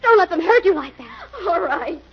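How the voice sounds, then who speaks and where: A woman's voice: extremely high pitch, very animated, normal speed, exaggerated voice. An actress playing a frightened but defiant young captive woman, dramatic and emphatic, in an old radio crime drama. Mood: alarmed, defiant. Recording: dull, noisy old-time radio recording.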